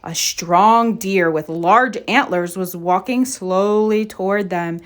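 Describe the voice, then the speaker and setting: A woman reading a children's story aloud in an expressive, deliberate storybook narration style. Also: mid-range voice. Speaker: storybook reader.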